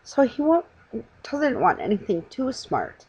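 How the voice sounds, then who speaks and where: Woman playing a lively, kindly lady shopper. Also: a little high, measured pace, husky, lo-fi sound, pitched above the performer's own voice, full character voice. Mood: hurried reassurance. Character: kindly, practical, slightly anxious motherly lady shopper.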